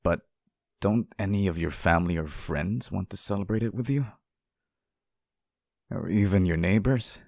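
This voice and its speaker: A man voicing a lonely, sweet and possessively devoted sealed demon in an intimate ASMR roleplay, speaking softly, with tender, hesitant pleading. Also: sits low, moderate pace, gravelly, long pauses, no echo, clean studio sound. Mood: gentle concern. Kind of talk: ASMR roleplay, intimate scripted monologue to the listener.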